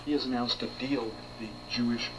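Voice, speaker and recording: A man's voice: medium pitch, level tone, a little fast. A man reading a scripted news report as a radio newsreader. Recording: very noisy shortwave radio reception.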